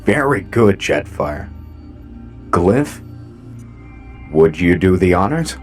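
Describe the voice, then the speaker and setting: A man playing a calm, authoritative robot commander in a sci-fi audio drama, speaking in a measured, commanding way. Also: sits low, faint music behind, full character voice.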